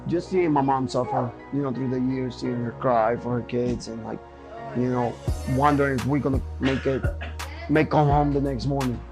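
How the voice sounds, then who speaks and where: A man's voice: mid-range voice, steady tone, moderate pace, music in the background, rough recording. A man from Puerto Rico, a boxer and gym owner, speaking earnestly and candidly in a documentary interview, often adding "you know what I mean".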